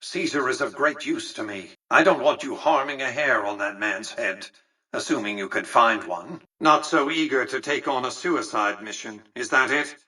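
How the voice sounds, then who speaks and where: A calculating older tycoon from a video game, a man used to command. His voice is bright and forceful with a husky grain. He speaks formally and precisely, looking down on whoever he addresses, and sounds set somewhat back from the microphone. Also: mid-range voice, distant mic, poor audio. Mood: stern, reproving. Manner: condescending, precise, formal, exacting. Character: imperious, calculating older male tycoon commanding a robot army. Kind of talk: scripted video game character dialogue.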